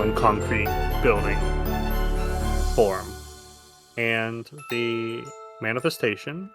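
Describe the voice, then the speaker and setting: A man acting as game master, narrating casually and explaining the rules in informal asides. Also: fairly low pitch. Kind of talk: improvised narration of a tabletop game, casual table talk. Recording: music under the voice. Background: background music.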